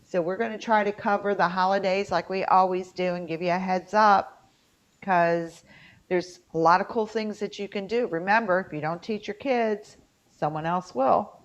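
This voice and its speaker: A woman's voice: medium pitch, moderate pace. A middle-aged American woman with a twang, chatting casually and jokingly as a folksy co-host of a talk show. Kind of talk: casual chat. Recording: dull lo-fi sound.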